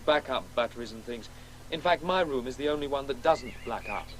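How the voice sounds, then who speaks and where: An actor playing a precocious schoolboy in a radio play, earnest, confident and articulate. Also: male voice, mid-range voice, fairly quick, a little hiss or hum, natural voice, poor audio. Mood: confident, insistent. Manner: articulate, insistent, eager. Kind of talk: naturalistic radio play dialogue.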